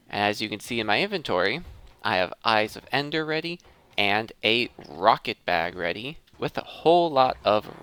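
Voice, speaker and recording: Animated man narrating gameplay, creaky edge. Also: on the low side, no echo. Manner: conversational, thinking aloud. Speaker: gaming video host. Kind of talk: casual gameplay commentary on Minecraft.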